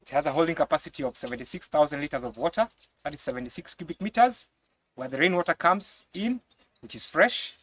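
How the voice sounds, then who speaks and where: High-pitched young Kenyan man, distant mic. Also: expressive, fairly bright tone. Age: young adult. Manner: halting, repeats words. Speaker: fish pond and crop farmer. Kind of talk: explaining a demonstration on camera. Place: outdoors on a farm beside ponds. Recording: distant mic, outdoor background noise.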